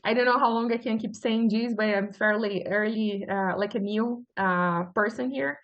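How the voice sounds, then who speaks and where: A woman's voice: mid-range voice, normal speed, dull audio. A woman from Brazil with a Brazilian Portuguese accent, giving a webinar talk as a forage extension specialist, explaining earnestly with many filler sounds. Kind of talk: webinar presentation.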